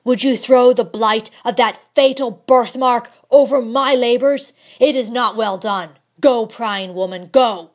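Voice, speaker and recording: A woman's voice: medium pitch, slow pace, close to an everyday voice. A woman reading the part of an intense, proud male scientist, speaking sternly in formal old-fashioned language. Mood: angry.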